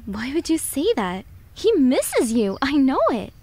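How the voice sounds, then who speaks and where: A woman playing an earnest, caring younger sister, a student, in an audio drama, with an expressive and insistent delivery. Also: sits high, a little slow, slight background noise.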